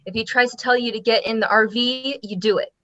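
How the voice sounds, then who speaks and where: A woman's voice: a little high, steady tone, fairly quick, fairly bright tone, dull audio, natural voice. An actress playing a protective, worn wife and mother in a survivor camp, read as a drama table read. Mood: motherly, firm.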